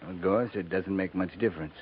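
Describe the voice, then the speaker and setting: An actor playing a steady, weary US cavalry captain in a 1950s radio western, speaking in a calm, laconic, plain-spoken way. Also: male voice, low voice, brisk, close to an everyday voice. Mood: grim, watchful. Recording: noisy, tinny 1950s radio recording.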